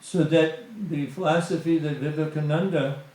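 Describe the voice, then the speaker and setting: A man's voice: a little high, fairly even intonation, normal speed, darkish tone. Man preaching in an echoey hall. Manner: conversational, many fillers like "you know". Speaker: guest speaker at a temple satsang. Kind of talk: spiritual talk to a congregation. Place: temple hall.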